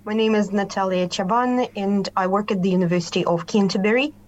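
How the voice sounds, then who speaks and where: A woman from Ukraine with a Ukrainian accent, speaking as a professor on a discussion panel in an earnest, measured way. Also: mid-range voice, muffled recording.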